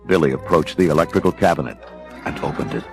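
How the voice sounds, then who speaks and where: A man's voice: rumbling low, quick pace, vocal fry. A man reading scripted, dramatic storybook narration. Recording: music behind the voice.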